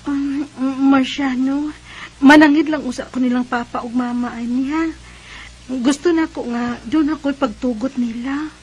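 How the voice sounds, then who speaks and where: A woman's voice: high-pitched, steady tone, moderate pace, slightly rough. A Filipino woman with a Cebuano accent playing a coy, dutiful young sweetheart in a radio drama, speaking tenderly. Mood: tender, hesitant. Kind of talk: Bisaya radio drama acting.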